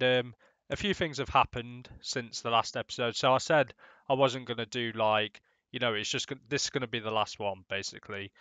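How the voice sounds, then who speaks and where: A man's voice: mid-range voice, sharp tone, clear, resonant tone, no echo. A young man with a cold, sounding nasal, giving rambling casual commentary over his game footage. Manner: rambling, many ums and restarts, swears casually. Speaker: gaming YouTuber.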